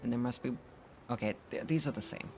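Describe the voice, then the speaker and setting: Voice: mid-range voice, brisk. A game streamer giving casual live commentary, musing and thinking aloud. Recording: loud game music behind. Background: game music.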